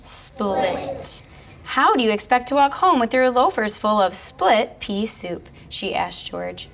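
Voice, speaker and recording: A woman's voice: on the high side, sing-song, slow, fairly bright tone. A woman who teaches elementary school, explaining reading strategies and reading aloud in an instructional way.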